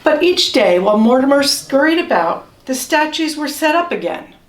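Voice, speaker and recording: Female storybook reader: higher-pitched, measured, expressive, recorded in a roomy space away from the mic. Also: a little hiss or hum. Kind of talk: children's storybook read-aloud. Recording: roomy echo, distant microphone.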